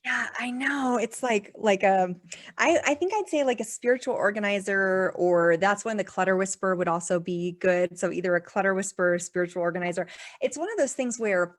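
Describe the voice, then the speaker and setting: A woman's voice: mid-range voice, a little fast. A woman as a podcast guest in casual conversation, chatty and full of "like" fillers, laughing often.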